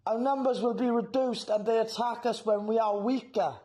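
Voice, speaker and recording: A man's voice: very high-pitched, steady tone, normal speed, dark tone. A man playing an earnest, worried slayer council member in an amateur audio drama, grave and urgent.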